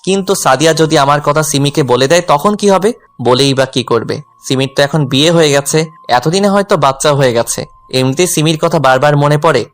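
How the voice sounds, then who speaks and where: A man's voice: a little high, natural voice. A man playing a lovelorn, self-effacing young tutor who narrates his own romantic story. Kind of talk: scripted first-person romantic story narration with dialogue.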